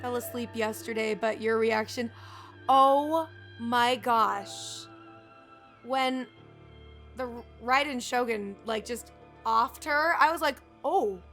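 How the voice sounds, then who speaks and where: A woman's voice: fairly high pitch, slow, fairly bright tone. A woman game streamer giving casual live commentary, informal and chatty with wry asides. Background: game music.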